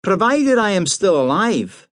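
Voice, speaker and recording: A man reading aloud and voicing a sulky, self-pitying Highland policeman with a cold and a Scottish Highland accent. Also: very animated, unhurried, a bit bright, dry recording, clean studio sound, exaggerated voice. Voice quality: sulky, sibilant.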